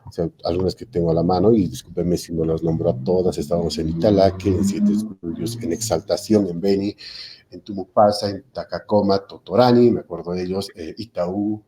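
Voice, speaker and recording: A man's voice: low voice, fairly even intonation, fairly quick, mellow, slight background noise. A man, a technical institute lecturer explaining online teaching in a panel talk, hesitating with frequent fillers and self-corrections over a video call.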